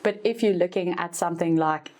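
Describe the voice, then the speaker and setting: This is a South African woman, Afrikaans by first language, with a low-pitched voice. She explains business figures in a relaxed, teacherly podcast style. She stays upbeat and fixes her own slips on the fly. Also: a little fast. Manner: teacherly and upbeat, laughs at herself, corrects herself mid-sentence. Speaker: finance expert guest, former university lecturer.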